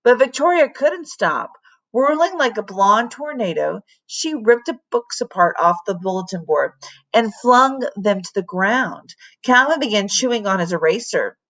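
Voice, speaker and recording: A woman reads a storybook aloud like a teacher to a class. Her delivery is dramatic and deliberate, with a highly varied pitch and an unhurried pace. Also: lively intonation, fairly bright tone, low-quality recording. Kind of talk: expressive storybook narration.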